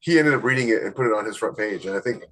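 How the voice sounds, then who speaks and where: A man with a slightly low voice speaks as a podcast co-host and author. His delivery is casual and conversational, built on personal anecdote, and he tends to act out the people he quotes. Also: very fast, fairly bright tone.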